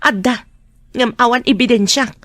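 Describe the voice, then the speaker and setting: A woman's voice: fairly high pitch, moderate pace, fairly bright tone, no echo, lightly acted. A woman acting a sorrowful, betrayed wife in a radio drama, plaintive and hesitant, with an Ilocano accent. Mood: wary, questioning. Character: Roxanne, sorrowful betrayed wife, meek and pleading.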